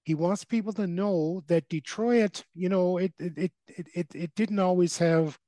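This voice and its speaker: Halting man with a higher voice. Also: steady tone, mellow, no room sound, clean studio sound. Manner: halting, repeats phrase openings. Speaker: book segment contributor. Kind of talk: casual radio discussion.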